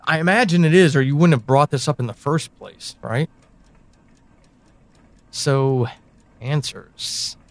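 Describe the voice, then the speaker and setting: A man playing a teasing, evasive confidant in an audio drama, speaking slowly and deliberately with dramatic pauses. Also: on the high side, no echo. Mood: taunting, ominous. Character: teasing, evasive confidant guiding someone through dark memories. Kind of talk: audio drama acting, intimate monologue and dialogue.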